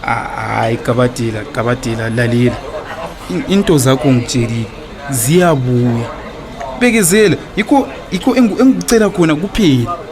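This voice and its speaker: Actor playing a sweet-talking Zimbabwean cheating husband. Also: male voice, mid-range voice, a little fast, a crowd in the background, close to an everyday voice. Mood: flustered, pleading. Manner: sweet-talking, stammering when cornered. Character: smooth-talking, cheating husband wooing his young lover, evasive debtor. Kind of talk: radio drama acting.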